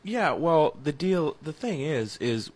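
A man in his twenties co-hosting a sports talk show, joking around with a raspy, crackly voice. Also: fairly high pitch, very animated, takes it slowly. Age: 20s. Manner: joking, self-deprecating. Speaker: sports radio co-host. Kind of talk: casual banter.

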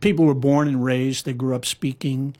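Man, husky voice, casual storyteller, fillers and restarts, middle pitch. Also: fairly even intonation, moderate pace, a little mellow. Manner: rambling, with frequent fillers and restarts. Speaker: guest storyteller. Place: lives in Hawaii.

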